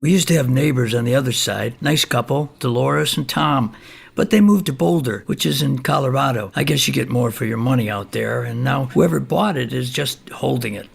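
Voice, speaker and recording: An actor playing a folksy older widowed homeowner in an audio comedy play, plain-spoken and rambling, with a gravelly, husky voice. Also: male voice, mid-range voice, normal speed. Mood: musing.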